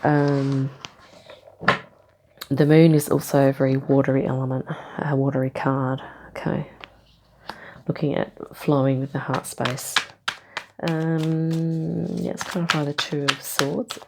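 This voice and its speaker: A woman giving a casual tarot reading, rambling gently with lots of ums and okays, a bit nasal from a cold. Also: sits low, little pitch movement, unhurried, creaky, pauses often, very noisy. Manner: meandering, many ums and okays, gentle reassuring tone. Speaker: tarot reader.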